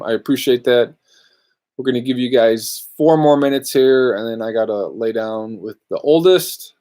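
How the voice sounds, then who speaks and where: A man hosting a live luthier Q&A from his workshop, chatting casually and rambling through viewer questions. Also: mid-range voice. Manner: laid-back, rambling, answers viewer questions. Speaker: luthier podcast host.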